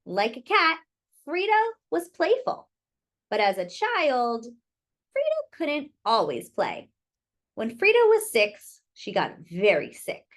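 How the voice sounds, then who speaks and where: A woman's voice: a little high, wildly swooping pitch, takes it slowly, fairly bright tone. A woman reading a picture book aloud to young children, expressively and with little asides to her listeners.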